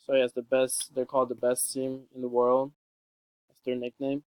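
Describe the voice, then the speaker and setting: Relaxed young man, flat smooth voice. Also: mid-range voice, moderate pace, no room sound. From San Francisco Peninsula, California. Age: young adult. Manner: rambling, relaxed, frequent fillers and restarts. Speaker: college student. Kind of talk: casual self-introduction video.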